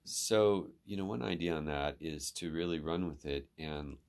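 Very deep-voiced male instructor. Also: a little mellow. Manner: measured and advising, with ums and restarts. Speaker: instructor giving feedback. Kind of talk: advisory conversation.

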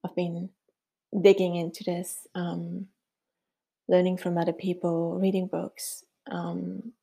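A woman's voice: lowish voice, completely flat delivery, takes it slowly, creaky, mellow, slightly rough. A middle-aged woman from Switzerland with a Swiss German accent, hosting a casual solo podcast in a chatty, upbeat way with frequent fillers. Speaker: podcast host.

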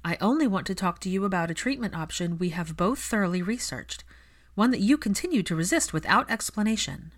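A woman voicing an earnest young female healer in a story, calm but persistent, reasoning in an insistent way. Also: medium pitch, fairly quick, close to an everyday voice. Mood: firm.

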